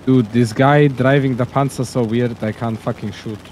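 A man's voice: medium pitch, steady tone, normal speed, darkish tone. A man in casual game voice chat, sweary and exclamatory, with battle noise behind him. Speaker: gamer in team voice chat. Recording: gunfire and engine noise behind. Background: game gunfire and vehicles.

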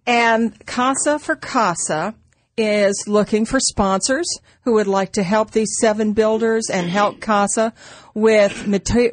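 A woman's voice: fairly high pitch, dry recording. A woman co-hosting a radio show in casual, unscripted chat, with a teasing, interjecting manner. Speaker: radio co-host.

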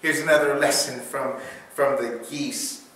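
A middle-aged man preaching a sermon as pastor, earnest and halting with restarts, recorded by a far camera in a dim church hall. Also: mid-range voice, steady tone, bright, forceful tone. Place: dim church hall before a projection screen. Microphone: far camera, no close mic.

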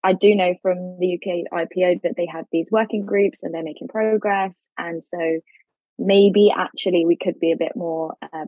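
A woman with a lowish, slightly husky voice talks in a thoughtful, hedging way. She is a podcast guest, recorded close to a dry mic. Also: no room sound, highs cut off. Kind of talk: podcast discussion.

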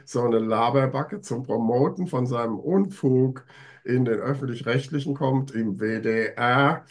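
Sardonic older man with a stuffy cold. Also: mid-range voice. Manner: sardonic, emphatic, drawn-out complaints. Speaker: co-host. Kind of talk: casual political chat. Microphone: video call webcam.

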